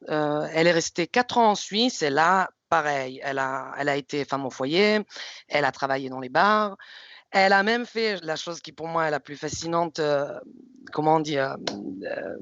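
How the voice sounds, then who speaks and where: A woman's voice: fairly low pitch, a bit bright, no room sound. Woman speaking candidly, dull dry recording. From Luxembourg. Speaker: manager on a recruitment panel. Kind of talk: informal panel presentation and storytelling. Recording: slightly dull, lo-fi audio.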